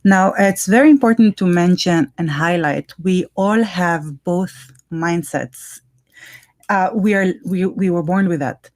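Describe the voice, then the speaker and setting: Low-voiced Hebrew-accented woman, dry sound. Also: unhurried, a little mellow, no echo. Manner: earnest, explanatory. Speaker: podcast co-host. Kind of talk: casual chat.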